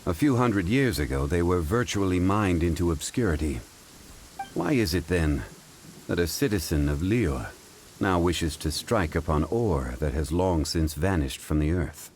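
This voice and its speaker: A man voicing a calm, courteous and learned gentleman in an anime-style video game, speaking in a measured, formal way. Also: low voice, slight background noise. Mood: thoughtful, explanatory. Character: composed, scholarly, ancient-souled gentleman consultant, calm and courteous.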